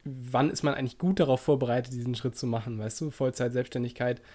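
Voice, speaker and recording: A man's voice: mid-range voice, fairly even intonation, fast talker, mellow. A man hosting a podcast, asking long, rambling questions in a casual, friendly interview. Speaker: podcast host.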